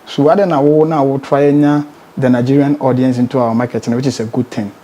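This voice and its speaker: A man's voice: medium pitch, steady tone, quick pace, warm tone. A man with a Ghanaian accent speaking as a musician being interviewed, emphatically, repeating words and posing rhetorical questions. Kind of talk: conversational interview.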